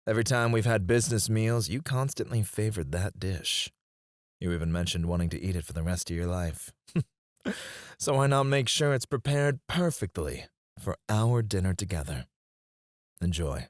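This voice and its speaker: A man playing a possessive, outwardly gracious CEO in an intimate scripted ASMR roleplay, speaking in a measured, suave manner. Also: deep voice, lively intonation, no room sound, clean studio sound. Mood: gracious, pleased. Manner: measured, suave, soft chuckles and sighs. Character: smooth, possessive male CEO, outwardly gracious, quietly controlling.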